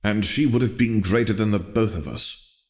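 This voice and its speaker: A man reading the part of a world-weary, wry and resolute Jedi in his thirties for a storybook, in a measured, dry manner. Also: fairly low pitch, a little mellow, dry recording, voice pushed up, natural voice. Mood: angry, tired.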